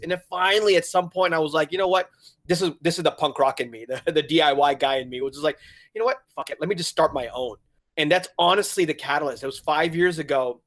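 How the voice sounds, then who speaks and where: Man, 40s, grew up in Hong Kong; lively storyteller, slightly higher voice, laughs a lot. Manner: animated storyteller, laughs often, acts out his own past thoughts. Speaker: guest, website founder. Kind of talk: casual interview conversation.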